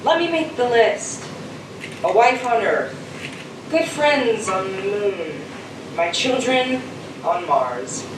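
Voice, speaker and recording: Young man as slow, earnest astronaut, very echoey. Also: high-pitched, sing-song, exaggerated voice. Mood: earnest, wistful. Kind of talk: theatrical stage acting. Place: stage of a school theater. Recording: very echoey stage, distant mic, noisy.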